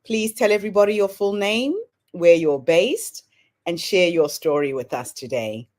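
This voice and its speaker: A woman hosting a podcast, reading a scripted welcome and then greeting her guest in a measured, welcoming way. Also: lowish voice, noisy background. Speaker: podcast host. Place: Melbourne, Australia.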